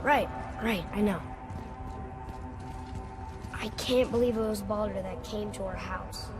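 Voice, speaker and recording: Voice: medium pitch, unhurried, music in the background, natural voice. An actor playing an eager, curious and talkative young boy in a video game. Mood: curious, chatty. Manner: talkative, inquisitive. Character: eager young boy, curious, chatty son of a stern warrior. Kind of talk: naturalistic video game voice acting.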